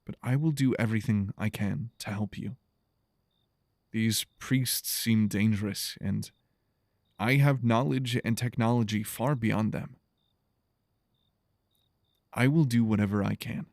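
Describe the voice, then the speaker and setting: A man acts out a stranded explorer in an audio drama, earnest and gentle. His voice sits low with a creaky, gravelly edge. He speaks in a measured, reflective way, in a very dry recording. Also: dry recording. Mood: reassuring.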